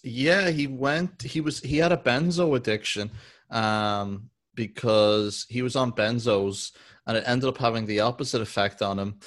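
A man's voice: medium pitch, steady tone, a little slow, a bit bright. A man hosting a podcast, chatting casually and bluntly, swearing freely. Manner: swears a lot, blunt and joking. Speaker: podcast host. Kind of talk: casual chat. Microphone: podcast microphone.

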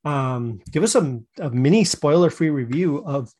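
A young adult man hosting a casual podcast chat, friendly and encouraging, with quick fillers. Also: on the high side, animated, darkish tone. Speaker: podcast host.

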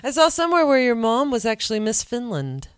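A woman's voice: on the high side, very animated, brisk, smooth, dry recording, studio quality. A woman hosting a radio interview, asking friendly, curious questions. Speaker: radio host.

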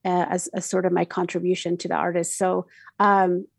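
A woman's voice: on the low side, little pitch movement, moderate pace, vocal fry, breathy edge, no room sound. Casting: middle-aged radio DJ woman, Mexican Spanish accent, chatty, fillers and restarts, dry close sound. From Mexico City. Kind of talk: casual interview answers.

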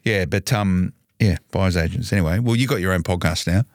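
An Australian man with a very low voice chats casually on a podcast. He rambles, teases and jokes, circling back with fillers. The recording is dry and close. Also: brisk, no echo. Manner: jokey, rambling, teasing. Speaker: podcast co-host. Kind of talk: casual banter.

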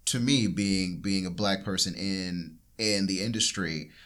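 A man's voice: deep voice, unhurried, fairly bright tone. A young man hosting a casual podcast discussion, rambling and correcting himself as he talks. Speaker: podcast host. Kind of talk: casual panel chat.

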